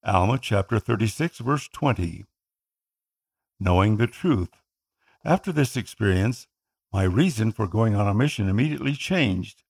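Earnest gravelly man. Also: fairly low pitch, animated, a little mellow. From Philippines. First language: Tagalog. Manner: earnest, measured reading. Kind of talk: scripted first-person narration.

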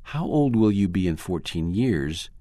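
A man reading the quoted lines of a friendly older male church leader, asking questions calmly. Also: sits low, unhurried, no room sound, clean studio sound. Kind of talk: read-aloud quoted dialogue.

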